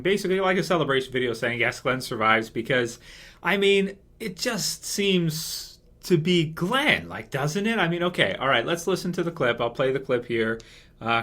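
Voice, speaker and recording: A man's voice: on the high side, a bit bright. A man hosting a casual fan commentary video, talking in a rambling way that circles back over his points. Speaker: video host.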